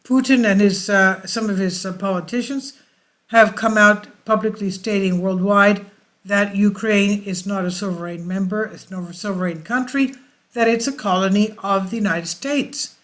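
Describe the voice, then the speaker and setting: Halting online news commentator, mid-pitched voice. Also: fairly bright tone. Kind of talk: reading news articles with off-the-cuff commentary.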